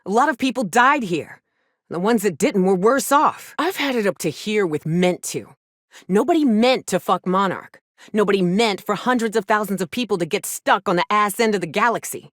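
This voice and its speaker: A woman's voice: mid-range voice, animated, normal speed, dry recording, studio quality, natural voice. An actress playing a jaded, hard-drinking frontier mercenary woman in a video game, blunt, sardonic and defiant, with salty phrasing. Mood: bitter, then angry. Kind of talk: video game character dialogue.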